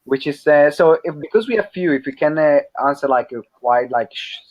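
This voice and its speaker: A man with an Italian accent, hosting a podcast and reading out listener questions, halting with fillers and restarts. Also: fairly high pitch, animated. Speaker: podcast host.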